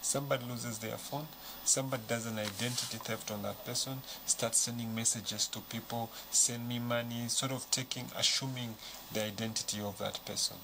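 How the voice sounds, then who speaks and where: A man, a bank official, explaining in a measured, patient way in a studio interview. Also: mid-range voice, steady tone, creaky. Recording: noisy studio background.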